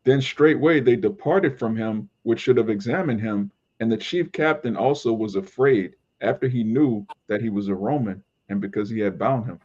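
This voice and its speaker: A man's voice: fairly low pitch, normal speed, few pauses, a little mellow. Dull-sounding recording of man reading scripture. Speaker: scripture reader. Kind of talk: reading scripture aloud in group study. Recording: dull lo-fi audio.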